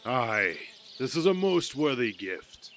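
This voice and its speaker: Genial old god-king played by a man, very unhurried and regal, mythic audio drama with faint outdoor ambience. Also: mid-range voice, big pitch swings, a bit bright, slight background noise, full character voice. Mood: solemn approval. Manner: slow, regal. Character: stately old male king of gods, genial. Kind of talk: amateur fantasy audio drama acting.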